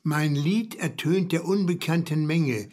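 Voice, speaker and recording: An actor playing a grandiose, plaintive poet in a comic radio play, with a northern Low German accent. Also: male voice, unhurried, darkish tone. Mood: lofty lament. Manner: grandiose, theatrical. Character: pompous, plaintive male poet lamenting the decline of culture. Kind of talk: comic radio play dialogue with declaimed verse.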